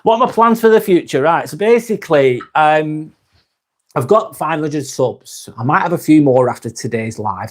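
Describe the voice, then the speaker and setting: Man, mid-pitched, rambling live-stream host, laid-back, swears casually. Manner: relaxed, rambling, frequent restarts and swearing. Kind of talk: casual live chat reading comments.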